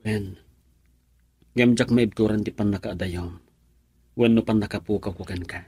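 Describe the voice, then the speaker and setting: A man's voice: lowish voice, steady tone, a little slow, dark tone, slightly rough. A young man playing a devoted, reassuring boyfriend in an Ilocano radio drama.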